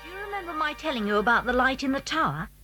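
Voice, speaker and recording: A woman's voice: sits high, sing-song, fast, music in the background. A British woman playing an eager, cautious schoolboy in a children's audio drama. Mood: conspiratorial, eager. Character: eager, cautious schoolboy who leads his friends.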